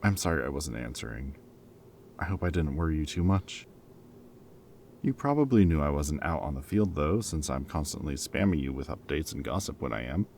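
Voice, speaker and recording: A man's voice: extremely low pitch, lively intonation, moderate pace, a little mellow, a little hiss or hum. A man playing a former villain in an audio roleplay, a playful, loyal friend who talks in a casual, wry, bantering and hesitant way, as if in conversation. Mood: sheepish, flustered.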